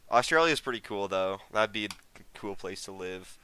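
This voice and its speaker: A man's voice: on the low side, lively intonation, moderate pace, bright, forceful tone, a little hiss or hum. A teenage American guy streaming a game, chatting casually with viewers in a rambling, slangy way. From United States. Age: teens. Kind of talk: casual live stream chat.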